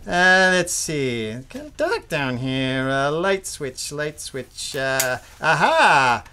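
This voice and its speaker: A man's voice: fairly high pitch, big pitch swings, slow pace. A man playing an eager, jumpy young studio worker in a live old-time radio drama, folksy and nervous. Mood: nervous muttering. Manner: folksy, nervous, muttering to himself, exclaiming. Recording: live stage recording with faint noise.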